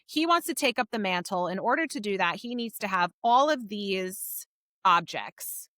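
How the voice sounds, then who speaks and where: Bright, clear-voiced woman co-hosting a podcast. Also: mid-range voice, expressive, moderate pace, no echo, studio quality. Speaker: podcast co-host. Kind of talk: casual book discussion, recapping a story.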